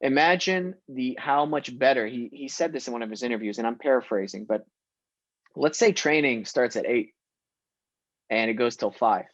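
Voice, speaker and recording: A man's voice: medium pitch, moderate pace, fairly bright tone, dry recording, highs cut off. A young man born in Syria, a guest actor talking casually and emphatically in an interview, laughing often.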